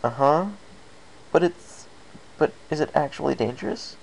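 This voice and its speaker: Narrator playing a young guy pony, earnest, kinda anxious and hesitant, creaky voice, noisy recording. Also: medium pitch, expressive, moderate pace, darkish tone, voice pushed up. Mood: puzzled.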